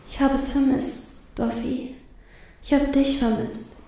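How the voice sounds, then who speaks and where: A woman's voice: high voice, fairly even intonation, slow, mellow, voice pushed up. A woman voicing a timid, flustered young woman who speaks hesitantly and nervously in an audio story. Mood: tender. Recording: very echoey, reverberant voice.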